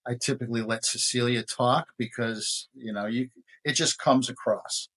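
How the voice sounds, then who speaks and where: A man speaking plainly and relaxed as a guest in a casual interview. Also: medium pitch, moderate pace, breathy edge. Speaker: tequila brand co-founder, guest.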